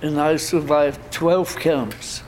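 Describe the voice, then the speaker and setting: Slow-spoken older man from Poland. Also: on the high side, steady tone, darkish tone, a little hiss or hum, low-quality recording. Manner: slow, halting, with restarts and repeated phrases. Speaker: speaker telling his life story. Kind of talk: unscripted testimony to an audience.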